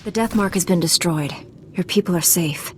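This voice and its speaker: An actress playing a calm, honorable female knight in a video game, composed and formal. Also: fairly low pitch, moderate pace, a little hiss or hum, lightly acted.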